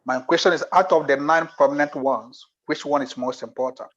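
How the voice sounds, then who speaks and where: A man's voice: fairly high pitch, brisk, a bit bright, highs cut off. A man asking one plain question in a Q&A.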